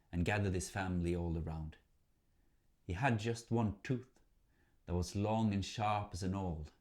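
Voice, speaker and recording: A man narrating a folk tale as a storyteller, in a theatrical, measured manner. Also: sits low, a little mellow.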